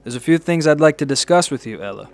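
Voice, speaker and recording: A man's voice: a little high, expressive, fast, soft background music. An actor playing a suave, mysterious man in a radio drama, polite, wry and teasing as he talks. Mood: teasing, menacing. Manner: polite, wry, smooth-talking.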